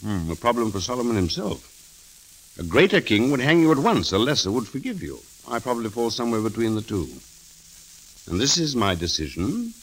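A man's voice: mid-range voice, sing-song, fairly bright tone, slightly rough, heavily acted. Sly, wildly swooping sardonic king. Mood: wry, regal. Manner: theatrical, deliberate, drawn-out and mocking. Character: sly, imperious, sardonic old king of France. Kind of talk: 1930s radio drama acting. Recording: hissy, crackly 1930s radio recording.

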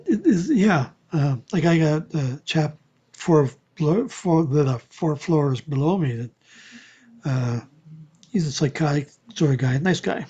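Husky, mellow older man. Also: fairly high pitch, lively intonation, unhurried. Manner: meandering storytelling, self-correcting. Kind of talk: casual chat. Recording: dull lo-fi audio.

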